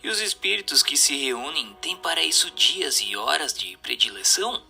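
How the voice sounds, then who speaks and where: A man's voice: fairly high pitch, animated, moderate pace, vocal fry. A man with a Brazilian accent reading scripted questions as a narrator. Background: music.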